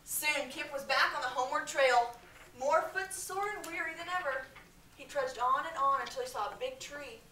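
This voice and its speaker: Bright-voiced American woman teacher reading aloud. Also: high-pitched. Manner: expressive, projected, pausing to question pupils. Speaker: elementary school teacher. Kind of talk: storybook read-aloud to a class. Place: medium-sized school classroom, indoors. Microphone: far camera. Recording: echoey classroom, distant mic, faint background noise.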